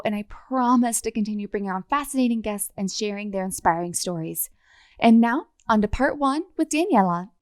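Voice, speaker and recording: A woman's voice: on the high side, no echo, studio quality. A woman hosting a conservation podcast, reading an upbeat scripted intro and then chatting with a guest and reacting with laughs and exclamations. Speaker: podcast host.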